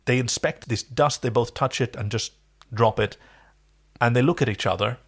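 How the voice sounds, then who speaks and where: Guy w a medium pitched voice doing a casual tv review podcast, kinda searching for words. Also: normal speed. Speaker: podcast co-host. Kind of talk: casual TV episode review chat.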